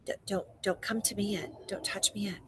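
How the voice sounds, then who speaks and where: A woman storyteller voicing a gentle, calm holy man who gives instructions in a soft, hushed, whispery voice. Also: medium pitch, normal speed, heavy background noise, lightly acted. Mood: gentle, solemn.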